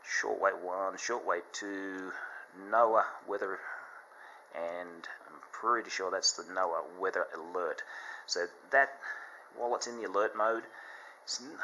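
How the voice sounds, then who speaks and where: A man with a very deep but bright, forward voice talks through a product demonstration. His delivery is casual and unscripted, explaining in a halting manner as he goes. There is faint noise behind him. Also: low-quality recording. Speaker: product reviewer.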